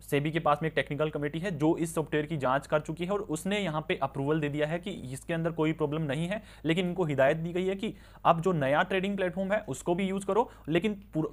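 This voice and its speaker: Male market news presenter, slightly high voice, informal explainer delivery with frequent check-in tags. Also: fast talker. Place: voice-over on slides with handwritten notes.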